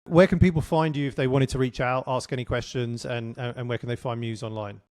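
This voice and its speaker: A man's voice: mid-range voice, fairly even intonation, brisk, darkish tone, dry recording. A man hosting a business podcast in a casual, chatty way, with many fillers and restarts. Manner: chatty, lots of fillers and restarts, friendly asides. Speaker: podcast host.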